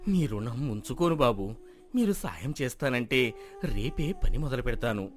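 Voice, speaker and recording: A man's voice: medium pitch, expressive, normal speed, faint music behind, lightly acted. A man playing a stubborn, hopeful village farmer in an acted folk tale, speaking earnestly and pleadingly. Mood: hopeful, deferential. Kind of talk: dramatized folk moral story acting.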